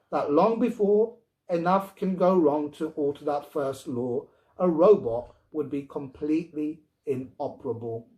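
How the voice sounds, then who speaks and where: A man's voice: a little high, a little mellow, lightly acted. A man playing a stubborn, dismissive husband and father in a storybook reading, blustering and emphatic.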